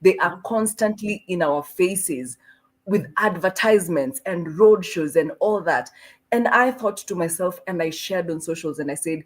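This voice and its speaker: A woman's voice: fairly low pitch, lively intonation, moderate pace. A woman hosting a panel, earnest and making points through analogies. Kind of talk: panel conversation.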